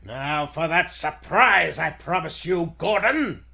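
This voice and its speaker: A man voicing a gloating, cruel villain in a theatrical, sneering, drawn-out 1940s radio serial manner. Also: on the high side, expressive, vocal fry, sharp tone, voice pushed down, heavily acted. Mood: gloating, menacing.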